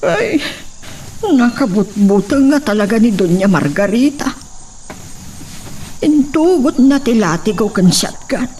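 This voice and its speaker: A woman's voice: a little high, very animated, a little slow, pauses often, mellow. A woman acting a nervous servant in an Ilocano-accented radio-style melodrama, with faint noise behind. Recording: faint background noise and insects.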